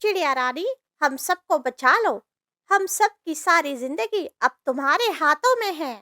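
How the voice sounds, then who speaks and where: Woman doing a squeaky, bright, alarmist little bird. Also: lively intonation, a little slow, dry recording, studio quality, heavily acted. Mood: pleading. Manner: urgent, exclamatory, persuasive. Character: squeaky, alarmist forest birds, scheming and pleading. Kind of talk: Hindi cartoon story voice acting.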